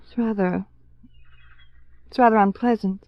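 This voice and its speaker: An actress plays a self-possessed American society woman from a 1950s radio drama. She is languid and frank, with a world-weary edge. Her mid-pitched voice comes through a dull, dry vintage recording. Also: fairly even intonation, unhurried, no room sound, lightly acted. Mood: rueful, confiding.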